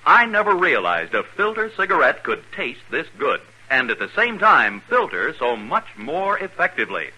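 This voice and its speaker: A man's voice: on the high side, huge pitch swings, moderate pace, few pauses, pushed and bright, slightly rough, poor audio. A man reading a 1950s radio advertisement as a commercial announcer.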